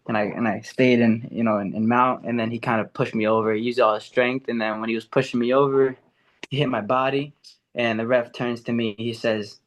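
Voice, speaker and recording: A young man talks on a podcast in an eager, rambling way. His pitch sits in the middle range, and he fills his sentences with "um," "you know" and restarts as he tells his story. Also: low-quality recording. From America, Ecuadorian and Puerto Rican family. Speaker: amateur MMA fighter, guest. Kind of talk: casual podcast interview.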